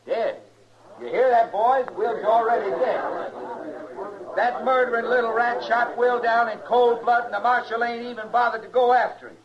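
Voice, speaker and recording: A man's voice: very high-pitched, steady tone, moderate pace, crowd noise. A man with an American accent playing a defiant, taunting mob leader with folksy speech in an old-time radio western. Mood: outraged. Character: belligerent rabble-rousing western mob leader, a male drifter. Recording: muffled old radio recording.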